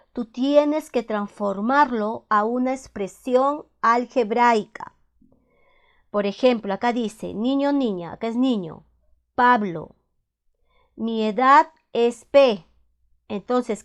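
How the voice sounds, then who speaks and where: A woman teaches math at a slow, unhurried pace. Her voice sits fairly high, and she goes patiently through each step, repeating it and stopping to ask whether it is clear. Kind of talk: slow step-by-step classroom explanation.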